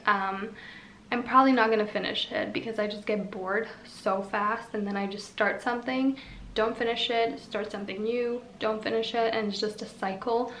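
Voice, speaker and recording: A woman's voice: medium pitch, fairly bright tone, a little hiss or hum. Chatty young woman in an echoey room. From Slovenia. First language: Slovene. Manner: chatty, with frequent fillers. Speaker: YouTuber. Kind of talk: casual Q&A vlog.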